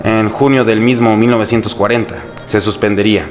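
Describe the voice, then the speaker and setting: Low-voiced sarcastic Mexican man narrating over music. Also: steady tone, fairly quick. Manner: sarcastic, irreverent, colloquial. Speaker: host and narrator. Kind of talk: humorous scripted history narration. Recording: music bed under the voice. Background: background music.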